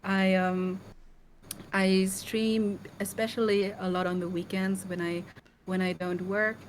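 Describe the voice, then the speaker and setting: Woman, interview guest, mid pitch, friendly, giggly, faint background hiss. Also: level tone, a little slow, rough recording. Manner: friendly, giggly, soft-spoken. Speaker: guest singer. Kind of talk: casual interview chat.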